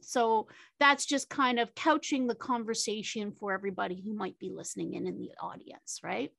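A woman's voice: mid-range voice, steady tone, normal speed, vocal fry, a bit bright, dry recording. A woman moderating a panel discussion, chatty, with many fillers and tag questions.

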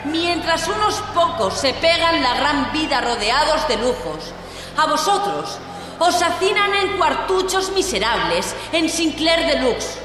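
A woman's voice: sits high, sing-song, measured pace. A woman playing a fiery revolutionary leader in an audio drama, giving a declamatory, rousing political speech to workers. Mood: impassioned, defiant. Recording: echoing large hall, loud music underneath.

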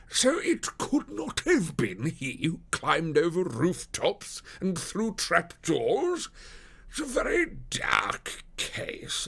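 A man voicing a downcast, deferential police detective in an audiobook, speaking formally in a slow, drawn-out, confidential manner. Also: high voice, gravelly, pushed and bright, slightly rough, voice pushed up, heavily acted. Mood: worried, downcast. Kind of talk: dramatised audiobook character voice.

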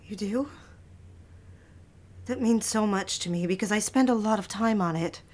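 An actress playing a timid, lovestruck secretary in a period audio drama, speaking softly and hesitantly, as if confiding. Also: female voice, medium pitch, moderate pace, natural voice. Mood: timid, nervous. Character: timid, lovestruck female 1960s secretary yearning for attention. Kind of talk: period audio drama acting, confessional first-person narration.

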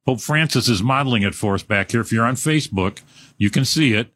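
A man's voice: medium pitch, fairly quick, a bit bright, no echo. A man, hosting a live radio fundraiser in a casual, joking way full of fillers. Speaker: radio host.

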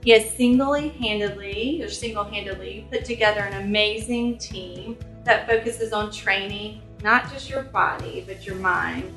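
A woman's voice: mid-range voice, unhurried, few pauses, fairly bright tone. Chatty woman host in an echoey room. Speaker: podcast host. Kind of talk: casual interview hosting. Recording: echoey room with faint music.

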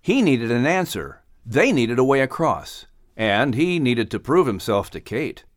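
A man's voice: mid-range voice, sing-song, unhurried, no room sound, studio quality. A man reading a children's story as a scripted audiobook narration.

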